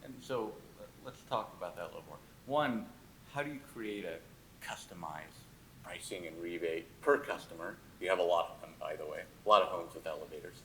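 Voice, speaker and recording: A man with a fairly low voice moderates a conference panel. He asks his questions in a casual, easygoing way. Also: a little fast, a bit bright, a little hiss or hum, low-quality recording.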